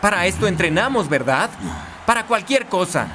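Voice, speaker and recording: Lively, determined young footballer. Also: male voice, high voice, moderate pace, a little hiss or hum. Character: earnest, determined young male football player. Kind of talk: animated cartoon dialogue. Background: faint music.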